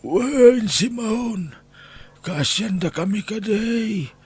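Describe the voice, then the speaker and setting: Man acting high, husky, weary Ilocano husband. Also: expressive, drawn-out words, a little mellow, full character voice. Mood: pleading. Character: humble, weary older homeless husband seeking shelter. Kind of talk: Ilocano audio drama acting.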